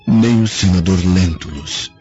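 Very deep-voiced, brooding Roman nobleman. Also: measured pace, dark tone. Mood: regretful. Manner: earnest, brooding, theatrical. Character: remorseful Roman nobleman, anguished and insecure. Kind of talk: radio drama acting. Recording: dull lo-fi audio with faint music.

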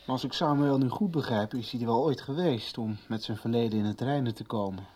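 A man's voice: medium pitch, a little fast, darkish tone, close to an everyday voice. An actor playing a reflective man in a conversational radio soap. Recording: faintly noisy 1990s radio recording.

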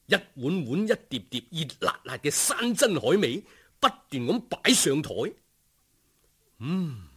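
A male Cantonese storyteller narrates in an expressive, dramatic way. His husky voice swings widely in pitch while keeping a slow, savouring pace. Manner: expressive, unhurried, savouring descriptions. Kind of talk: dramatic scripted folk-tale narration.

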